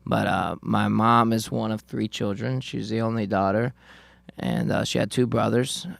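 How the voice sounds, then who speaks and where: Young male guitarist guest, from South Florida, low clear voice, casual swearing storyteller, dry studio sound. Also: steady tone, moderate pace, no echo. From South Florida, Cuban family. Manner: loose, profane, rambling storyteller. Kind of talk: casual podcast chat.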